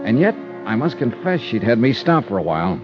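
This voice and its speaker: An actor playing a hard-boiled insurance investigator in a 1950s radio drama, clipped and wry. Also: male voice, mid-range voice, sing-song, brisk, over music, natural voice. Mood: wry, narrating. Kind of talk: 1950s radio detective acting. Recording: dull old radio recording.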